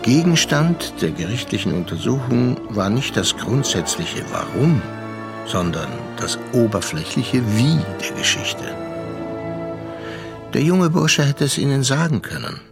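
An actor in a German radio drama playing a worldly, sardonic narrator, telling his story in a measured, reflective way. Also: medium pitch, sing-song, gravelly, over loud music. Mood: wry, reflective. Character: sardonic, worldly gentleman narrator recounting a sea inquiry.

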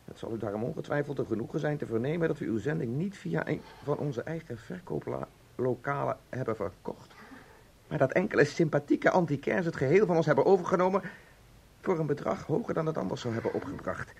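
A man's voice: fairly high pitch, lively intonation, fairly quick, gravelly, a little mellow, slightly rough, heavily acted. An actor playing an aggrieved, bookish man in a divorce, insistent and agitated, in a Dutch radio play. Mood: bitter, sarcastic.

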